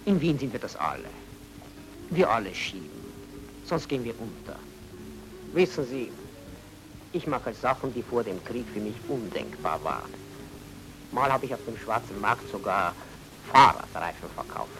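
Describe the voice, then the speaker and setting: An actor playing an obliging but shady Viennese baron in a radio drama, with a courteous, theatrical, evasive manner. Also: male voice, medium pitch, big pitch swings, normal speed, pauses often, noisy background. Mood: smoothly evasive.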